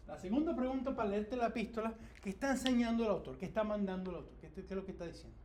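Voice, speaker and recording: A man leads an informal church Bible class, his voice pitched high and lively as he quizzes the group and circles back over his points. Faint room noise sits behind him. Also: racing. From Latin America. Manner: animated, asks the class questions, repeats himself. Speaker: Bible study teacher.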